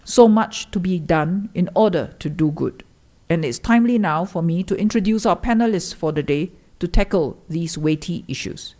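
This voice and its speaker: Measured woman opening a forum. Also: lowish voice, warm tone. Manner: measured, declamatory listing. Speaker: forum host. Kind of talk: formal welcome speech.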